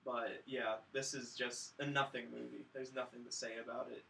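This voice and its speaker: A man with a forceful, bright voice gives blunt, sardonic opinions in casual panel chat. The sound is roomy and a little distant from the mic, with faint noise. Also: mid-range voice, flat delivery, normal speed, creaky. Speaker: film review podcast panelist.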